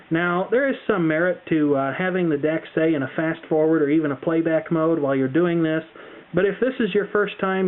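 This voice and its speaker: A phone-like, narrow recording of an American man with a fairly high-pitched voice. He talks through a how-to demonstration casually, chatting as he goes and carefully explaining each step. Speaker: tutorial presenter. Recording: thin, phone-like band-limited sound.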